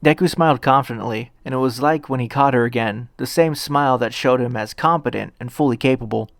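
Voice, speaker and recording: A man narrates a piece of fan fiction from a script. His voice sits at a medium pitch. The sound is dry, with hardly any room to it. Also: a little fast, vocal fry, no echo.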